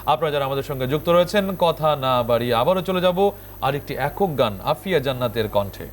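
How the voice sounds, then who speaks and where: A man's voice: medium pitch, expressive, a little fast, a little hiss or hum. A man with a Bangladeshi accent hosting a television programme in a presenter's style, addressing guests and viewers. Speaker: TV show host.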